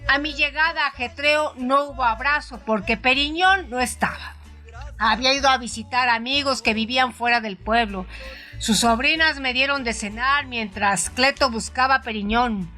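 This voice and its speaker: A woman's voice: high voice, moderate pace. A woman narrating a literary audio story in a dramatized radio storytelling style, with music behind her. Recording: soft music under the voice.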